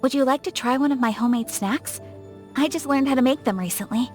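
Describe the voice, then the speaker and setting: A woman plays a young noble lady of a samurai clan in a video game. Her voice sits fairly high, and she speaks with formal, courteous poise and a reserved temper. Faint music plays behind her lines. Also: a little fast, a little mellow, close to an everyday voice. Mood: warm, gracious. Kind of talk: scripted game character voice lines.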